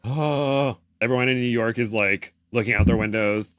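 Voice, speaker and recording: A man's voice: medium pitch, normal speed, a bit bright, no room sound, studio quality. A man co-hosting a podcast in casual chat, tossing in wry, joking reactions. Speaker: podcast co-host.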